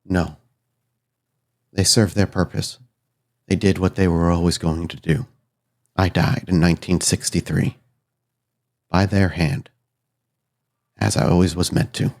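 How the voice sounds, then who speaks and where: A man voicing a solemn, fatalistic dead spirit bound to an old hotel, speaking cryptically in short measured phrases in a tabletop game. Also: low voice, steady tone, vocal fry, darkish tone, pitched below the performer's own voice, heavily acted. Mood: cold, fatalistic.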